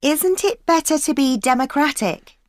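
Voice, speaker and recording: An actress playing a keen young student in a scripted exam dialogue, agreeable and quick to laugh. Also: female voice, high-pitched, animated, no room sound. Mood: earnest. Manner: eager, agreeable, laughs often. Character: keen young female events-management student. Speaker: student planning a work placement. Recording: dry studio recording.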